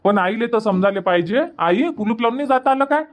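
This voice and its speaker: Man voicing a high-pitched fretful young villager. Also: lively intonation, quick pace. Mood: worried. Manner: fretful, questioning, emphatic. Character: worried young village son, fretting over costs. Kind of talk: Marathi cartoon comedy dubbing.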